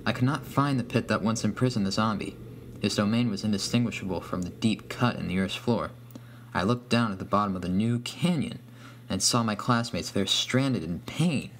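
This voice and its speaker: A man's voice: on the low side, moderate pace, fairly bright tone, slight background noise. A man reading a scripted first-person horror story as its narrator.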